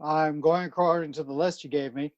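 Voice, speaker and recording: A woman calling out military drill commands as a drill caller, in clipped phrases with long pauses between them. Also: low voice, fairly even intonation, darkish tone, dry recording, lo-fi sound.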